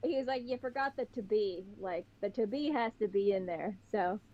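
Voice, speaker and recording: A woman's voice: medium pitch, normal speed. Chatty young woman with a Pittsburgh accent. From Pittsburgh, Western Pennsylvania. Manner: chatty, animated storytelling. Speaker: podcast guest. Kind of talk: casual chat. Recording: slightly dull, lo-fi sound.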